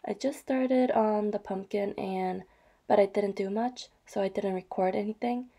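A woman talks through her craft projects in a soft, chatty voice-over with fillers and small apologies. Her voice is mellow and dark, with a gravelly edge. She sounds slightly hoarse from a cold. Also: medium pitch, steady tone, unhurried. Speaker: vlogger. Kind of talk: casual show-and-tell narration.

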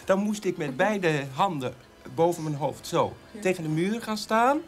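An actor in a radio drama playing the grown child of a strict father, recollecting in a halting, ironic way. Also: mid-range voice, lively intonation, natural voice. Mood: hurt, ironic. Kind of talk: animated radio drama storytelling. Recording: music behind the voice.

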